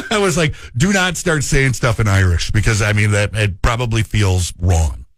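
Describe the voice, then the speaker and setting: A man's voice: fairly low pitch, expressive, normal speed, background noise. A man with an Upper Midwestern accent, hosting a radio show and chatting casually, joking and laughing often.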